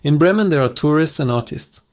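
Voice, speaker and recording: A man's voice: fairly high pitch, expressive, brisk, lightly acted. A man voicing an old, lame male donkey who talks with sighs. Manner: sighing.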